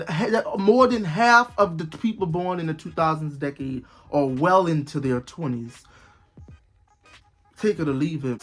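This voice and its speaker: A twenty-year-old man vlogging in casual talk, rambling and repeating himself, with bursts of disbelief. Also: a little high. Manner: rambling, repetitive, exclaiming in disbelief.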